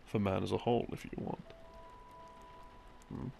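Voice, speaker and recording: A man's voice: fairly low pitch, level tone, normal speed, vocal fry. A man giving a rambling, intimate, casual explanation of lore, with wry, hesitant asides. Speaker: audio creator narrating as a boyfriend explaining game lore. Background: soft game theme music.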